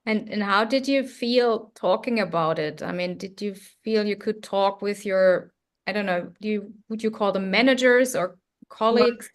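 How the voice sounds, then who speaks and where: A woman's voice: mid-range voice, normal speed. A woman co-hosting a webinar panel, asking friendly, slightly hesitant questions.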